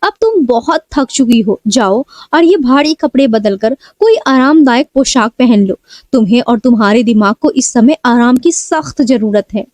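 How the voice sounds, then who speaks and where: A woman storyteller voicing an earnest, protective male police officer in a soft, measured, grave way. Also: a little high, expressive, no echo, natural voice. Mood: gentle, reassuring.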